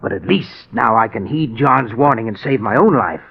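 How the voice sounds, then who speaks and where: A man's voice: medium pitch, lively intonation, normal speed, vocal fry, breathy edge. An actor playing a haunted man obsessed with cheating death, in an agitated, theatrical 1940s radio drama style. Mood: anguished regret. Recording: muffled, band-limited old 1940s radio recording.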